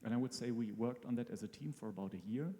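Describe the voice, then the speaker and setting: Male guest expert, measured explainer, creaky low-lit tone, reverberant hall. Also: dark tone. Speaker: brain-computer interface researcher, guest expert. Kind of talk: explaining on stage in a live interview. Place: large hall at a live event. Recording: hall reverb, faint music behind.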